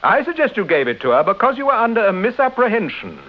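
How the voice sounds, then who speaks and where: An actor playing a suave, unruffled gentleman sleuth with an English accent in a mid-century radio drama. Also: high-pitched, sing-song, fast talker. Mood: cool, polite. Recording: dull, noisy old radio recording.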